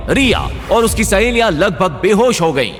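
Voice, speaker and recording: A man's voice: sits high, very animated, normal speed, a bit bright, in a large hall, faint music behind. A man delivering dramatic scripted story narration.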